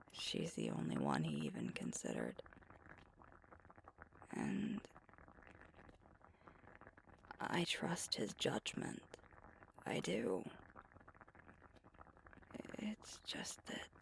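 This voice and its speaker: Woman playing a gravelly, hushed werewolf girlfriend. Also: a bass voice, a little hiss or hum, heavily acted. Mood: hesitant, musing. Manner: soft-spoken, often breathy and hushed, musing hesitantly. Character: sweet, thoughtful alpha werewolf girlfriend, pack leader. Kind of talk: ASMR roleplay, intimate one-sided conversation.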